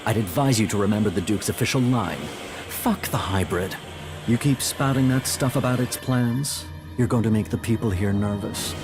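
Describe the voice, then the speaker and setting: A man's voice: fairly low pitch, normal speed, natural voice. A man playing a wary, blunt, pushy outlaw enforcer in a video game. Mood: warning, dismissive. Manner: blunt, profane. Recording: loud music behind.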